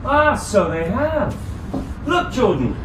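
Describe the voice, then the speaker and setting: A man's voice: very high-pitched, huge pitch swings, slow, very noisy, exaggerated voice. An actor playing an eager, dreamy young man househunting with his partner, keen and a little defensive, in a radio drama.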